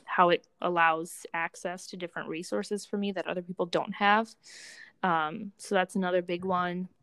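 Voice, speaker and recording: Smooth, lower-pitched young woman chatting reflectively. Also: fairly even intonation, moderate pace, fairly bright tone, no echo. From Romania. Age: young adult. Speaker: podcast co-host, doctoral student in psychology. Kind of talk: casual reflective conversation.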